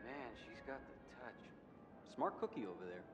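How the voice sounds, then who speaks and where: An actor playing a young American hospital orderly in a video game, breezy, cocky and full of slangy, wry patter. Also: male voice, medium pitch, very animated, moderate pace. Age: young adult. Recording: echoing hall reverb under loud music.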